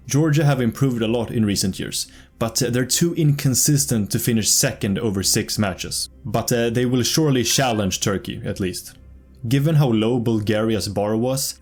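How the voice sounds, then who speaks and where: A man reading scripted football commentary in a measured way, slipping in dry, wry asides. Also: on the low side, darkish tone. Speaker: football predictions channel host. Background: faint background music.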